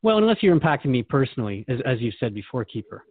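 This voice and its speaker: A man's voice: medium pitch, big pitch swings, fast talker, no echo, phone-quality sound. A man playing a curious, earnest seeker questioning a spiritual guide in a conversational scripted dialogue. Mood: reflective. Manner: inquisitive, conversational.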